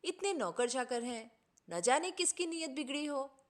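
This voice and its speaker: A woman's voice: sits high, animated, unhurried. A woman voicing a sly male gardener in a storybook, deferential and feigning innocence. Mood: feigned innocence.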